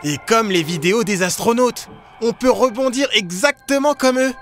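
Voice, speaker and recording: A woman voicing an expressive, easily scared young boy in a children's cartoon. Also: fairly high pitch, normal speed, soft background music, lightly acted. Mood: cheerful, explaining. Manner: expressive, exclaiming. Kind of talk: animated children's cartoon dialogue.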